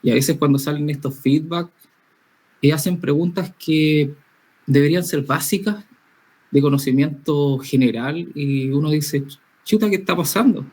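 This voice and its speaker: A young man from Spain speaks as an interview guest, open and candid. He talks conversationally and warms up when he criticizes his field. His voice sits a touch high for a man. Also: normal speed, slightly rough. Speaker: guest, safety professional. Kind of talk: casual interview talk.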